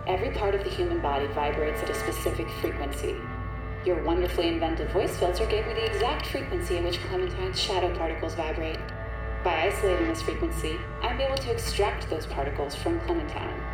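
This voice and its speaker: A woman's voice: lowish voice, expressive, fairly quick, close to an everyday voice. Woman doing a smug evil scientist lady, super echoey and a bit far off, taunting, audio drama with music. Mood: gloating. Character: gloating, power-hungry female scientist villain, coolly condescending. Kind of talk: audio fiction acting. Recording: echoey, distant, music underneath.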